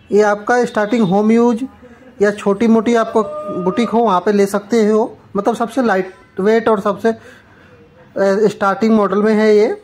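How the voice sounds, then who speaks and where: A man gives an informal product demonstration, explaining plainly and item by item as a shop presenter. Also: high-pitched. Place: small shop, indoors.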